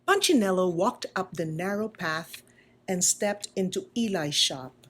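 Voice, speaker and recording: Woman narrator, children's storybook reading, very slow deliberate delivery, animated intonation, medium pitch. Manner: deliberate, expressive. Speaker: school principal reading aloud, religious sister.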